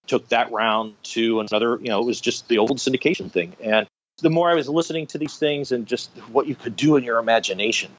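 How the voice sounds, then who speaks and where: A man's voice: medium pitch, brisk. Middle-aged man chatting, slightly dull recording. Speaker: guest, radio theater director. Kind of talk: conversational interview storytelling.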